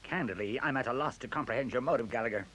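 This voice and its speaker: A man's voice: medium pitch, racing, fairly bright tone, natural voice. A male actor playing an arrogant, sceptical professor in a radio play, with a precise and pompous manner. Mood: sceptical, challenging. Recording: faint hiss on radio play recording.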